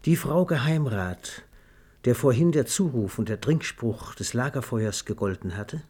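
Husky male radio play narrator. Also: mid-range voice, lively intonation, measured pace, dark tone.

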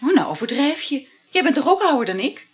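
A woman's voice: high-pitched, sing-song, a little fast. An actress playing a chatty, flirtatious married woman in a Dutch radio play, effusive and full of sighs. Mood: gushing, defensive.

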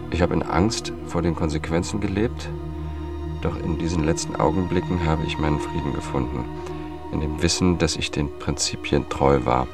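An actor playing a seasoned, gruff investigator in a radio drama, with a dry, laconic delivery. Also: male voice, a bass voice. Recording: music bed under the voice.

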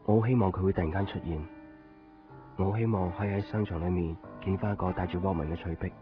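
Man acting sulky young man, radio drama, quick delivery, deep pitch, lovelorn. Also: fairly even intonation, close to an everyday voice. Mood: wistful longing. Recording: dull 1990s radio recording. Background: music.

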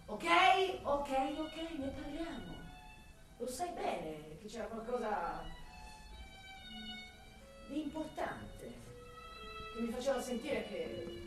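A woman's voice: on the high side, big pitch swings, slow, pauses often, far from the mic. An actress voicing a vain, flirtatious male cop in a radio drama, on a one-sided phone call with a former lover. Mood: evasive, harried. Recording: very echoey room, off the mic, music behind.

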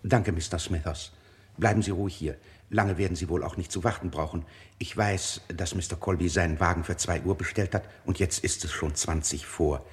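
Man as discreet club porter in a vintage radio play, very low voice, formal, courteous, servile. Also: moderate pace, close to an everyday voice. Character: polite, discreet male porter of exclusive London club. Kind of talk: mid-century radio drama acting.

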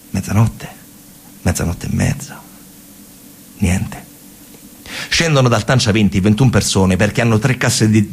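A male actor tells a story as a theatre monologue in a central Italian, Lazio accent. His voice is rather low, with a heavy gravelly creak and a husky grain. He speaks colloquially and emphatically, circling back on phrases for dramatic effect.

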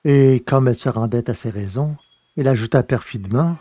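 Man reading a novel aloud, steady. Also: mid-range voice, brisk, slightly rough, dry recording. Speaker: audiobook narrator. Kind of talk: scripted narration of a science-fiction novel.

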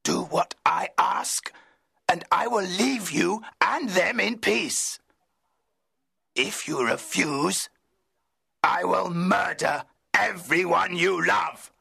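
A wretched, man-made monster, acted by a man in an audiobook, his voice deeply gravelly and husky. He speaks slowly and bitterly, lonely and full of brooding menace. The tone is bright and edged. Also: exaggerated voice. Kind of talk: audiobook character voice.

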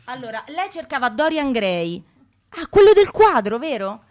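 A woman's voice: sits high, big pitch swings, moderate pace, a little mellow. A woman playing a gushing, helpful receptionist among the damned in a radio comedy sketch. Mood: helpful, chatty. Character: chatty, helpful female receptionist of the damned lustful.

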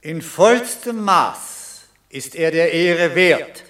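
An actor playing an exalted Roman senator in a classic radio play, declaiming ceremonially. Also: male voice, fairly high pitch, lively intonation, fairly bright tone, heavily acted. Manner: ceremonial, drawn-out.

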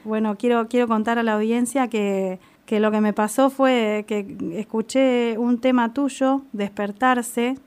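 A woman who hosts a community radio show, reading an introduction and interviewing a guest in an unhurried, affirming way. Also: fairly high pitch, fairly even intonation, darkish tone, clear, resonant tone.

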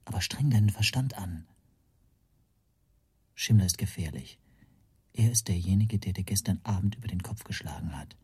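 An anxious man telling his own story in a radio play. His voice is very husky and mellow, somewhat low, often sinking toward a whisper as he broods and doubts himself. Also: moderate pace, long pauses, no room sound. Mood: urgent self-urging. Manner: brooding, introspective, hushed. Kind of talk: first-person radio play narration.

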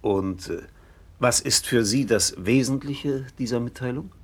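A man's voice: medium pitch, unhurried, husky. An actor playing an earnest, middle-aged family father and businessman in a German radio play, polite and a little hesitant. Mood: questioning.

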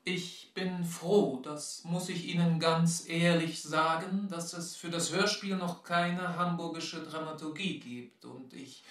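An actor playing a solemn, reflective writer, speaking in a measured, formal way in a radio play. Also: male voice, a little high, a bit bright. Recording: roomy, distant from microphone.

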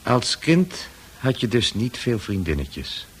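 An actor playing a calm, patient male psychiatrist in a radio drama, measured and coaxing. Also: mid-range voice, slightly rough, exaggerated voice. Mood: insistent, calm.

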